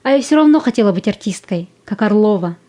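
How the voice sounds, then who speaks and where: A woman's voice: medium pitch, lively intonation, fast, mellow, lightly acted. A woman acting a dramatic audio-play monologue as a romantic Soviet school-leaver, nostalgic and earnest. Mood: dreamy, earnest. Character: dreamy romantic Soviet school-leaver girl recalling summer 1941.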